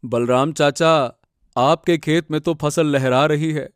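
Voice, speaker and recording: An actor playing an earnest, troubled young farmer in a cartoon moral story, melodramatic, halting and pleading. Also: male voice, fairly high pitch, fairly even intonation, clean tone, no room sound, clean studio sound. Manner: halting, pleading, repeating words.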